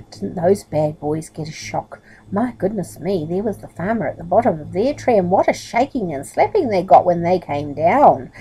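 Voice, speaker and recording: A woman's voice: lowish voice, creaky, warm tone, slight background noise, low-quality recording. A woman reading a children's story aloud expressively, with chatty asides to a young listener. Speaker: storybook reader.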